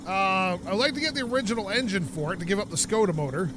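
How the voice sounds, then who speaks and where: A man's voice: sits high, fast talker, noisy background. A man from North America hosting a let's-play video, chatting and joking casually while he plays, and he has the sniffles. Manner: chatty, jokey, rambling asides. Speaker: gaming video host.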